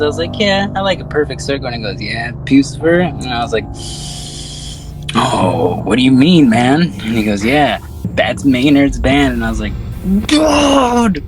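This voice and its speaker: A man's voice: mid-range voice, very animated, normal speed, over music. A man hosting a podcast in a casual, slangy film discussion, swearing freely. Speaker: podcast host.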